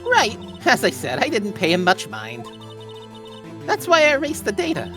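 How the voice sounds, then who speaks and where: A woman's voice: medium pitch, wildly swooping pitch, moderate pace, music in the background. A woman voicing a cranky, gossipy old female witness in an amateur video game read, scornful and huffy.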